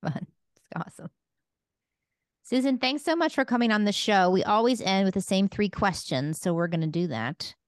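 A woman's voice: mid-range voice, lively intonation, normal speed, dry recording, clean studio sound. A woman hosting a podcast interview in a conversational style, putting questions to a guest. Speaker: podcast host.

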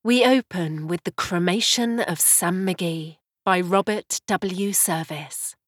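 A woman giving a scripted, atmospheric radio drama introduction in a measured, ominous storytelling manner. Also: on the low side, noisy background. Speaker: announcer, narrator. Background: music.

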